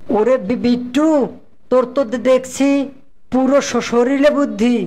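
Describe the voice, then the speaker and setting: A woman's voice: high voice, fairly even intonation, measured pace, warm tone. A woman voicing an eager, scheming male fox sidekick in a cartoon, with a heavy stutter. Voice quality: heavy stutter, repeating syllables.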